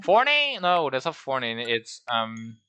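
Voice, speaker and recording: A man's voice: medium pitch, wildly swooping pitch, normal speed, bright, forceful tone, clean tone, faint music behind. A man streaming a game, rambling and joking at himself as he talks through it. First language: Danish. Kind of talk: casual game livestream commentary.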